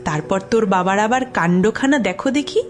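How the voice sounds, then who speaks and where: A woman's voice: mid-range voice, expressive, moderate pace, faint music behind, lightly acted. A woman playing a fussy, scolding, worried village mother in a horror cartoon, theatrical in delivery. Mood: grumbling. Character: nagging, worried village mother, exasperated with husband. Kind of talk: dramatised horror cartoon dialogue.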